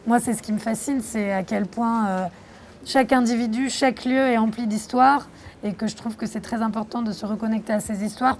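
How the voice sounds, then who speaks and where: Thoughtful young Frenchwoman, slightly high voice. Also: a little mellow, a little hiss or hum. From France. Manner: reflective, thoughtful, with frequent hesitations. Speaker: documentary filmmaker being interviewed. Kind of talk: interview answers.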